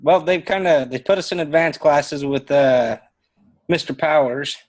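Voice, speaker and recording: A man with a Southern American accent talks as a student in an online class. His pitch is slightly high. He is casual, laid-back and blunt in the way he puts things. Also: a little slow, darkish tone. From Utah, USA. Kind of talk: casual chat. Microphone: online class call.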